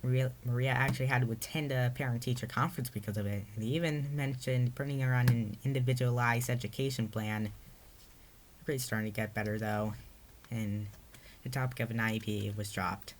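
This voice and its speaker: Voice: medium pitch, fairly even intonation, brisk, a little hiss or hum. A narrator reading their own story aloud in a storybook read-aloud style.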